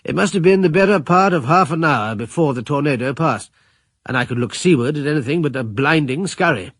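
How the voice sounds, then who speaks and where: A middle-aged british officer type telling his adventure, husky, slightly high for a man, dry studio. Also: expressive, a bit bright, dry recording. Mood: grave, tense. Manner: grave, measured, literary storytelling. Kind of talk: first-person radio drama narration.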